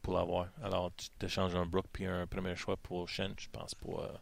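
Man, flat and very low, gravelly, stop-start sports debate. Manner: halting, repeats words while thinking. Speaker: hockey panelist.

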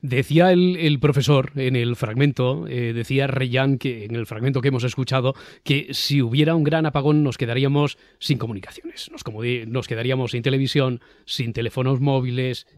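A male host with a Castilian accent moderates a radio-style round table. His voice is mid-pitched and recorded very dry and close. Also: steady tone, fairly quick, few pauses, darkish tone, no room sound. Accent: Castilian Spanish. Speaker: host, journalist moderating a panel. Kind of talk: radio-style panel talk.

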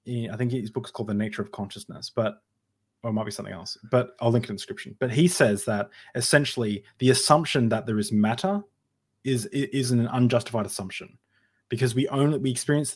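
A man's voice: mid-range voice, fast talker, husky. A man hosting a casual podcast conversation, talking hesitantly with frequent restarts and fillers. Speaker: podcast host.

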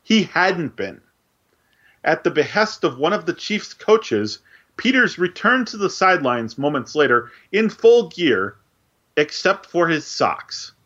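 A man co-hosting a sports podcast, cracking dry jokes in chat and reading the news in a measured way. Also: fairly high pitch, very animated, a bit bright. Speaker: podcast co-host. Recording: dull lo-fi mic.

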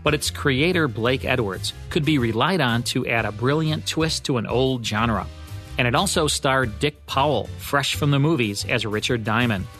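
Faint music plays under a man with a mid-pitched voice. He is a radio host giving a scripted, narrated introduction to a vintage program. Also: moderate pace, a bit bright.

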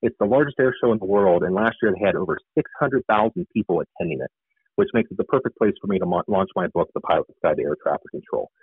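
Fast-talking low-voiced man on a phone line. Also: husky, no echo. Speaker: interview guest, author and air traffic controller. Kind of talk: conversational radio interview explanations.

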